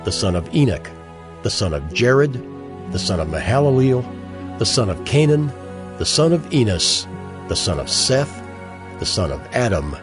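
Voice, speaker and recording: Male scripture narrator, lowish register, measured recitation, animated pitch movement, over a music bed. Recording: music clearly behind the voice.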